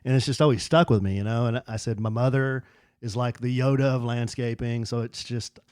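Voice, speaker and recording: A man's voice: medium pitch, fairly quick, clean tone. A middle-aged man spins a casual yarn on a podcast, and his voice jumps around as he acts out what people said. He sits close to the mic in a dry, dead room with no echo. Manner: animated storyteller, acts out quoted dialogue. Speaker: podcast co-host. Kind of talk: casual storytelling chat.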